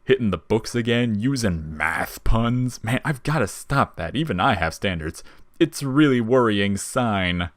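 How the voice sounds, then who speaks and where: A man voicing a wry, laid-back, quietly protective skeleton in an audio story, with casual slangy talk. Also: on the low side, expressive, measured pace, no echo, studio quality, pitched above the performer's own voice. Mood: joking. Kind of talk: dramatic audio fiction character reading.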